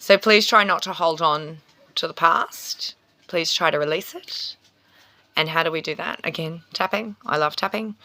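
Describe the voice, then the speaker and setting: A chatty Australian woman talks through an astrology reading in a bright, forceful voice that sits a little low. The sound is dry and close. She rambles along with ums and small laughs. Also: moderate pace, no room sound. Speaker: spiritual healer and tarot reader. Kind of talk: casual astrology talk to camera.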